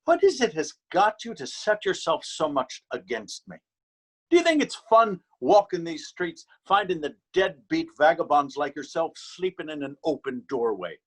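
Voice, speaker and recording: A man's voice: fairly high pitch, very animated, moderate pace. A man acts out a beat officer in a stage reading. He is stern and weary, speaking in a somewhat raised register. His manner is admonishing and authoritative, with a bullying edge. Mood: dry, weary. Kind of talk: readers theater stage acting.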